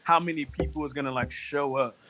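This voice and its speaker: A man in his late twenties, a podcast guest, telling stories in a rambling, animated way, full of "like". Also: medium pitch, a little fast, a crowd in the background, rough recording. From North Carolina. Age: 20s. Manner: rambling, heavy use of "like", animated storytelling. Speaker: podcast guest, music manager. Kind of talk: casual chat.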